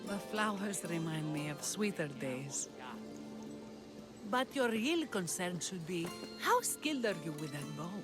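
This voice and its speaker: An actress playing Kira, a fierce, sharp-tongued rebel leader in a game cutscene. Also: female voice, lowish voice, sing-song, measured pace, music in the background. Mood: teasing. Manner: sharp, teasing, commanding. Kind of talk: video game cutscene acting.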